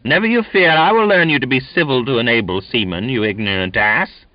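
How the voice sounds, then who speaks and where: A man voicing a bullying, quarrelsome male sailor who snarls and shouts insults. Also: fairly high pitch, very animated, a little fast, no room sound, pitched above the performer's own voice. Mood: snarling. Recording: dull lo-fi dry recording.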